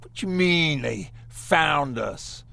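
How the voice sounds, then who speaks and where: A man's voice: fairly high pitch, expressive, takes it slowly, creaky, bright, forceful tone, exaggerated voice. An actor playing a brash, alarmed male survivor leader, confrontational and emphatic in an action game. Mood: suspicious, alarmed. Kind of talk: dramatic action game dialogue.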